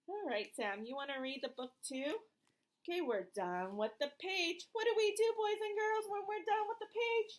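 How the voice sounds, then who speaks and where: A woman's voice: very high voice, unhurried. A woman reading a picture book aloud to young children in an encouraging, sing-song teacher's manner, asking questions and drawing out short phrases.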